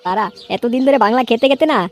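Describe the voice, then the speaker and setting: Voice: medium pitch, rapid-fire, slight background noise, close to an everyday voice. An actor voicing a crude, boozy, irritable young layabout in a comedy cartoon, whining and swearing. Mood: puzzled, complaining.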